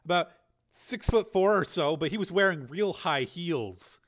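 A man's voice: high-pitched, expressive, a little slow, pitched above the performer's own voice. A man voicing a polite, nervous, battered boy who halts and stumbles over his words in an audiobook. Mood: bitter, hesitant. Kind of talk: audiobook character voice.

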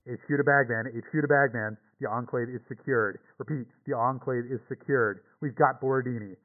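A man's voice: mid-range voice, steady tone, a little fast. A man voicing a clipped, businesslike headquarters operator through a two-way radio filter. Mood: brisk. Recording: tinny narrow-band radio transmission.

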